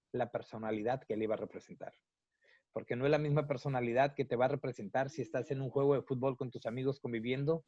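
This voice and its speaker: Mexican male psychologist, mid-pitched, unhurried lecturing delivery, dull dry lo-fi recording. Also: a little mellow, no room sound. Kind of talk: interview, explaining at length.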